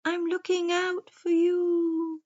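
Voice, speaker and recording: A woman voicing a wise, watchful old storybook owl with hooting, drawn-out pronouncements. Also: very high voice, completely flat delivery, darkish tone, muffled recording, pitched above the performer's own voice.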